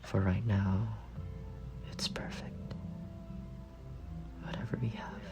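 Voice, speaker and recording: A young man playing a shy, lovestruck roommate in an intimate ASMR roleplay, speaking tenderly and haltingly, often trailing into breathy murmurs. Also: on the low side, level tone, over music. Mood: wistful, content. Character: shy, lovestruck young roommate confessing softly to a sleeping friend.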